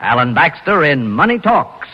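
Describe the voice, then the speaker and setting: Male vintage radio announcer, formal, fairly high voice, animated intonation, muffled broadcast. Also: moderate pace, breathy edge. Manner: formal, scripted delivery. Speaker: program announcer. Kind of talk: 1940s radio program announcing. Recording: muffled old radio, faint music.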